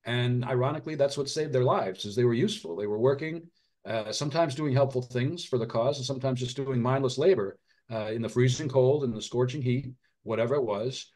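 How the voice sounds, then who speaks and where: A middle-aged man, an author and physician, speaking earnestly in an interview, often restarting his sentences and dropping quiet at heavy moments. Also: mid-range voice. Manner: earnest, halting, frequent restarts and fillers. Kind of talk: reflective interview conversation.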